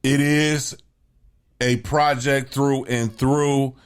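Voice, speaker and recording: A man co-hosting a casual music review podcast, talking with playful hype. He likes to repeat words for emphasis, and his voice sits at an everyday middle pitch. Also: flat delivery, drawn-out words, smooth. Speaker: podcast co-host. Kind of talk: casual album review chat.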